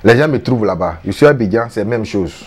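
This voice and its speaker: A man's voice: lowish voice, expressive, fast, reverberant, far from the mic. A man in his fifties, a traditional healer, speaking in an earnest, repetitive, self-promoting way in a casual interview on a veranda. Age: 50s. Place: outdoor veranda by a house wall.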